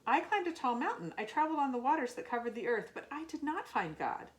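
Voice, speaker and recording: A woman voicing a wise, gentle woman seeker in a children's storybook, earnest and measured. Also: high-pitched, big pitch swings, pitched above the performer's own voice. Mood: earnest recounting.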